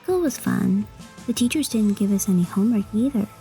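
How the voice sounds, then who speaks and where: A woman's voice: medium pitch, lively intonation, a little fast, warm tone, lightly acted. A woman voicing an eager, curious young schoolgirl in an audio drama. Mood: mildly whiny. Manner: eager, childlike. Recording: music under the voice. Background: music.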